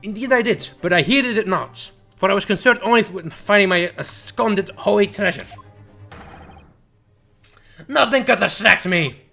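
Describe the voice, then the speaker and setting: A man voicing an eccentric, pompous old curio dealer in a video game, with archaic, flowery phrasing and drawn-out words. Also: high-pitched, creaky, bright, forceful tone, heavily acted. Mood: obsessed. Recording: music behind the voice.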